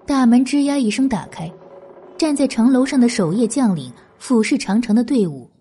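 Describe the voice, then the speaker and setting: A woman's voice: a little high, animated, normal speed, dark tone, slightly rough. A woman narrating a historical novel in scripted audiobook style. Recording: background music. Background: music.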